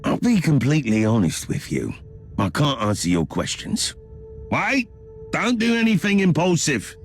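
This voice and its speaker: A man's voice: fairly high pitch, wildly swooping pitch, normal speed. An actor playing a sly, scheming male inquisitor in a video game, with an urbane, wry, theatrical delivery. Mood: wry, persuasive. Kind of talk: dramatic video game cutscene acting. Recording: music behind the voice.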